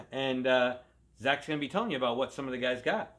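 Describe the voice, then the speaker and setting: A man in his thirties with a bright, forceful voice at an average pitch. He hosts casually from a living room, talking toward a camera a few feet away. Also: fairly quick, vocal fry. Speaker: host of a comic collecting show. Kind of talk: casual chat.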